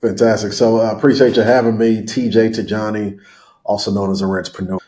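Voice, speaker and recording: A deep, flat-voiced man in his thirties. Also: a little fast. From Nigeria, raised in Houston, Texas. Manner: relaxed, rambling, with self-corrections and filler words. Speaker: guest, real estate investor. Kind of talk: casual podcast storytelling.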